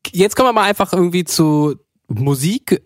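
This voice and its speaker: A man's voice: sits high, lively intonation, normal speed, no echo, studio quality. A man hosting a podcast interview, chatty and asking his guest questions. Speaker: podcast host. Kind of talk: casual interview.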